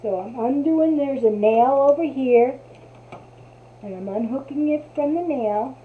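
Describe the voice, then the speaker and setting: A woman's voice: sits high, unhurried, a little hiss or hum. Chatty Jersey woman, tinny sound. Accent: New Jersey. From New Jersey. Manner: chatty, talks to herself while working. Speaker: craft tutorial host. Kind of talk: casual craft demonstration. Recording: tinny, phone-like audio.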